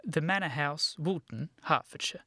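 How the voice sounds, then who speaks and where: Earnest, romantic young Victorian gent; formal, sincere period radio comedy delivery. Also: male voice, a little high, moderate pace, sharp tone, no echo, clean studio sound. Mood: adoring.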